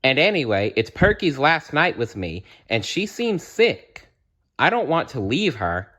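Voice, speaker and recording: A man's voice: mid-range voice, very animated, unhurried, a bit bright, voice pushed up. A man voicing an eager, earnest young boy in a children's storybook.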